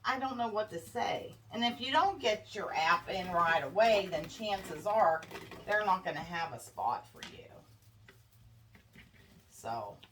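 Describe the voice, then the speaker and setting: Congested woman chatting slowly while crafting. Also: medium pitch, lively intonation, fairly bright tone. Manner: chatty, worried, addresses viewers as guys. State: congested, stuffy nose. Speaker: craft vlogger. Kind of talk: casual chat while crafting. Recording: distant mic with faint background noise.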